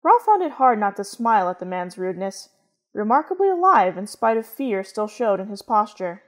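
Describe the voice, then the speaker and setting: A woman narrating a scripted story in a measured, literary manner. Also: medium pitch, sing-song.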